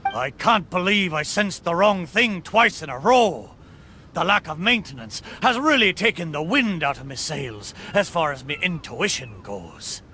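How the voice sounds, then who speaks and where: A man's voice: a little high, sharp tone, a little hiss or hum, exaggerated voice. A man voicing a boastful, good-humored spirit of an old pirate ship in a video game, talking in hearty, swaggering pirate style. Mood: wistful. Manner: hearty, bragging, swaggering pirate talk with "arr". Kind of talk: video game character acting.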